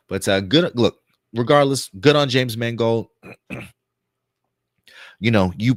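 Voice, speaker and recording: Male host, low-ish voice, dry close mic, chatty and loose, slightly hoarse. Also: no room sound. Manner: chatty, loose, lots of fillers and repeats. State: voice getting hoarse. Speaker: host of a pop-culture news show. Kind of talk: live-stream casual commentary.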